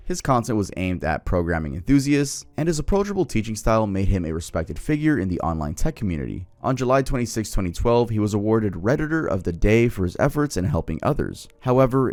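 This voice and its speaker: A man hosting a video, narrating true crime in a soothing voice with a relaxed, lightly joking manner. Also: fairly low pitch, a little fast, few pauses, no echo. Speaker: YouTube host.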